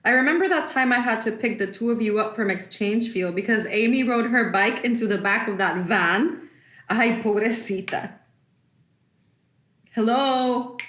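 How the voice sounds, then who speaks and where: Casting: actress for meddling middle-aged mother, dismissive and blunt, raised pitch, echoey room, naturalistic radio play. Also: normal speed, a bit bright, close to an everyday voice. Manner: blunt, interrupting, mixes in Spanish. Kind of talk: naturalistic audio drama dialogue.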